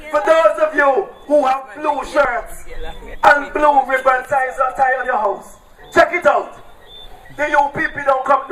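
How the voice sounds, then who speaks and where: A man with an Antiguan accent shouting a political campaign speech at an outdoor rally, hammering repeated rallying cries. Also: extremely high pitch. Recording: echoey, distant, loud crowd.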